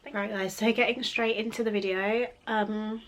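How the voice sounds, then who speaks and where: A young woman talks her way through a makeup routine at home, loose and rambling, her voice a touch croaky from a cold. Her pitch sits in a middle range, and a faint hum of room noise runs underneath. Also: steady tone, normal speed. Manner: chatty and rambling, laughs often. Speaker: beauty vlogger. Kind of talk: casual makeup tutorial chat.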